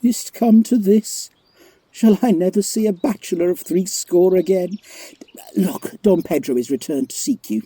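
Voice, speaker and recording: An actor playing a witty, scornful bachelor soldier in a Shakespearean audio play, teasing and theatrically mock-pompous. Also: male voice, high-pitched, unhurried, dark tone, husky, dry recording. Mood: mocking banter. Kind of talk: Shakespearean audio drama acting.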